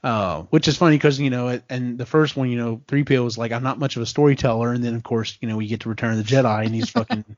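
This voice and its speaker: A man in casual fan conversation as a podcast host, animated, acting out quotes and swearing freely. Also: medium pitch, fast talker, few pauses, no room sound, highs cut off. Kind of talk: casual chat recapping and discussing films.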